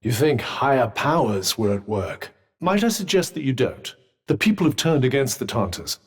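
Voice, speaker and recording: A man's voice: medium pitch, very animated, husky. A man voicing a wry, sardonic male talking magic bracelet in video game voice lines, with dry, formal, urbane speech. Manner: urbane, teasing, measured. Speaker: companion character.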